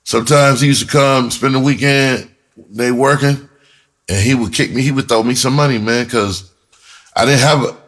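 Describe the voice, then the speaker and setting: A man telling a personal story in a casual, animated way, with slangy, profane talk and phrases repeated for emphasis. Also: mid-range voice, moderate pace. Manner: breaks into laughter often while talking. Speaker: screenwriter and performer telling his own story.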